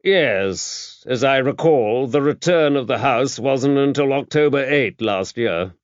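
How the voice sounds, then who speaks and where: A pompous older English aristocrat, played by a male audiobook reader, pitched up from the narrating voice. His upper-class accent carries a self-important, drawling manner full of old-fashioned phrasing. The sound is a little dull and close, with no room around it. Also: expressive, measured pace, no room sound, close to an everyday voice. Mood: stiff, chatty.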